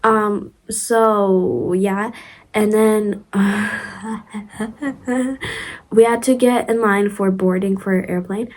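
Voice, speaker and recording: A woman's voice: medium pitch, steady tone, slow, long pauses, darkish tone. Young woman, Ukrainian accent, casual rambling vlogger, lots of fillers. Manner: chatty, rambling, many fillers and restarts, giggly asides. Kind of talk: casual storytime vlog.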